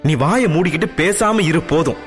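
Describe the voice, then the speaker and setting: A man's voice: high voice, a little fast, in a large hall, soft background music, voice pushed up, natural voice. A man voicing a harsh middle-aged mother who scolds her daughter. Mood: scolding. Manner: shouting, scolding.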